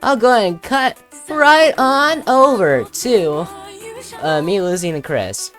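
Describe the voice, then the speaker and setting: A woman's voice: mid-range voice, lively intonation, slow. A woman giving casual, playful gameplay commentary as a video creator, with jokey, mock-dramatic asides. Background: music.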